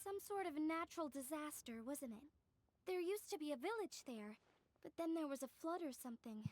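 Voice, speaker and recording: A woman voicing a friendly young street-smart artisan in a video game, expressive and explanatory. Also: sits high, moderate pace, a little hiss or hum. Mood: pensive. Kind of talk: video game dialogue acting.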